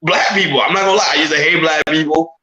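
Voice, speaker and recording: A man's voice: on the high side, steady tone, quick pace, echoey room, crowd noise. A man of Haitian descent on a casual podcast panel, speaking emphatically and often repeating himself as he builds a point.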